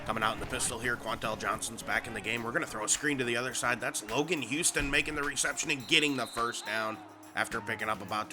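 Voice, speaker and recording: A man, sick and a bit nasal, giving excitable play-by-play commentary over a football video game. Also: mid-range voice. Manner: excitable, rising to shouts on big plays. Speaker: gaming YouTuber.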